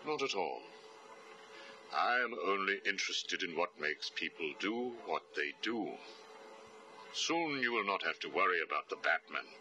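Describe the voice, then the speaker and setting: Game villain: arrogant older psychiatrist, extremely low, forceful voice, clinical and condescending. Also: male voice, animated, unhurried, heavily acted. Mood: cold, smug. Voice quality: very deep. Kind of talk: video game voice acting.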